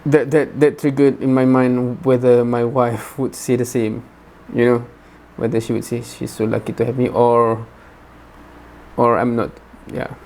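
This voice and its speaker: A man giving unscripted reaction commentary as host, halting and often repeating and rephrasing his words. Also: mid-range voice, little pitch movement, darkish tone.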